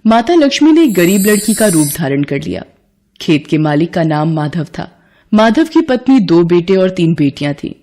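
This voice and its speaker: A woman's voice: lowish voice, big pitch swings, moderate pace, mellow, slight background noise. A woman narrator telling a scripted devotional folk tale in a storytelling style.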